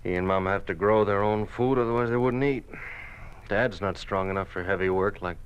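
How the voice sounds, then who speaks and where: An actor playing an earnest, steady grown son in an old-time radio drama, speaking in a measured, reassuring way. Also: male voice, on the low side, clear, resonant tone, muffled recording, lightly acted.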